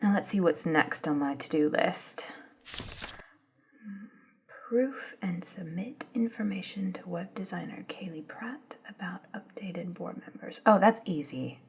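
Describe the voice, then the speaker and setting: A woman playing an eager, polite female office and lab assistant in a soft-spoken ASMR roleplay, with a breathy, murmuring, slightly hesitant delivery. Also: on the low side, slight background noise. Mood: absorbed, murmuring. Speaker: voice actor in scripted ASMR roleplay.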